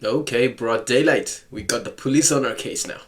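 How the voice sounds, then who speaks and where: A man's voice: mid-range voice, moderate pace. A man casually commenting while playing a visual novel, playful and sarcastic, joking back at the story text.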